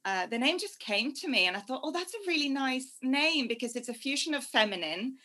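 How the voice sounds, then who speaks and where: A woman's voice: sits high, very animated, brisk, sharp tone. A woman from Norway, answering interview questions conversationally as a guest life coach. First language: Norwegian.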